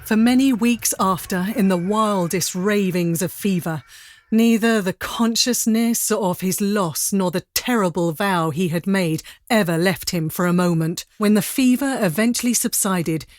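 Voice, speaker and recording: A woman narrating a serious dramatic story in a grave, deliberate manner. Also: mid-range voice, a little mellow.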